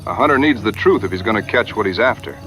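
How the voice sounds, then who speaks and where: An actor playing a confident, plainspoken American frontier hunter with laconic, folksy dry humor in a western audio drama. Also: male voice, on the low side, expressive, fast talker, fairly bright tone, faint music behind, poor audio. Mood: respectful.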